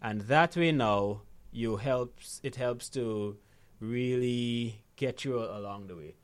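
A man's voice: mid-range voice, slow. A man from Guyana, a guest coaching on money habits, talking conversationally and often checking in with "right?". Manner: animated storytelling, frequent "right?" tags. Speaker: guest, financial literacy coach. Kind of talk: conversational interview.

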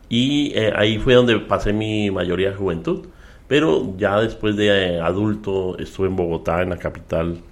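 A middle-aged man with a Colombian accent, an interview guest and minister, telling his story at a measured pace with frequent fillers. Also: low voice. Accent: Colombian Spanish. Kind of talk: relaxed testimony in conversation.

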